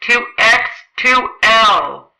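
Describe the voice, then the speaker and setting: A woman's voice: fairly high pitch, animated, gravelly, sharp tone, full character voice. A woman playing a desperate lone radio operator in a radio drama, calling out repeatedly and pleadingly in an echoing space. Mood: forlorn. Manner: slow, repetitive, pleading call-out. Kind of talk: radio play acting.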